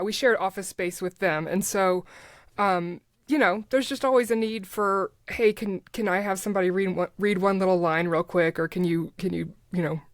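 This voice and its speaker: A woman's voice: on the low side, dry recording, clean studio sound. An American woman chatting casually as an interview guest, thinking aloud as she explains things informally. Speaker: podcast guest, voice actor and advertising project manager.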